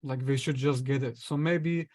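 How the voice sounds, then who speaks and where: A man, an astrologer guest, explaining ideas in a relaxed conversational way, sometimes acting out inner voices. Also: mid-range voice, moderate pace. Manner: explains with acted-out inner voices and light laughs.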